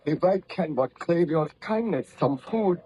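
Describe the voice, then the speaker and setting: An actor, a man, playing a weak, haunted and obsessed gentleman scientist, formal and melodramatic, in old-time radio drama. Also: fairly high pitch, animated, unhurried, slight background noise. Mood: weak, pleading. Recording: dull, muffled vintage radio recording.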